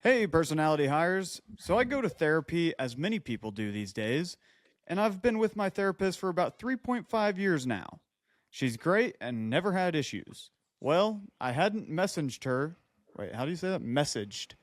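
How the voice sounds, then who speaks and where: A man with a fairly high voice co-hosts a chatty comedy podcast. He reads things aloud and keeps stepping out of the text with quips and side remarks, in a very dry, echo-free sound. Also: big pitch swings, moderate pace. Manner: interrupts his own reading with quips and asides. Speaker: podcast co-host. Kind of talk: casual comedic banter and reading listener letters aloud.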